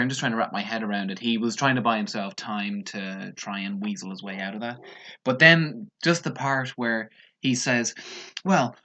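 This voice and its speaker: A man's voice: medium pitch, sharp tone. A man commenting on a TV clip in a casual, sarcastic and mocking way. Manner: sarcastic, mocking, mimics others. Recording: dull lo-fi sound.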